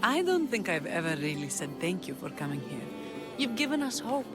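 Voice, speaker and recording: A woman's voice: fairly low pitch, very animated, brisk. An actress playing a devout, teasing young female Greek rebel leader in a video game, with an earnest manner. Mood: grateful. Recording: loud music behind the voice. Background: music.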